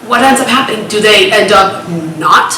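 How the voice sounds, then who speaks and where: A woman with a big, bright, forceful voice speaks up at a public hearing, pressing the board with question after question. She rambles a little as she goes. The room around her is echoey, with faint noise underneath. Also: mid-range voice, normal speed. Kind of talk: public comment at a town meeting. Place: town hall meeting room.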